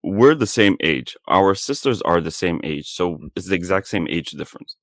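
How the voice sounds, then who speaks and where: A man's voice: low-pitched, animated, moderate pace, no echo, studio quality. A man in his thirties hosting a podcast, chatting casually and breaking in with follow-up questions. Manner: interjecting, asks follow-up questions. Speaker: podcast host. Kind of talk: casual interview chat.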